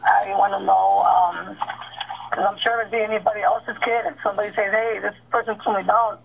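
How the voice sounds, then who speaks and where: A woman, upset and insistent, calls in a complaint over a phone line. Her voice is gravelly, with a mid pitch. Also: level tone, a little fast. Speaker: caller, a mother filing a complaint.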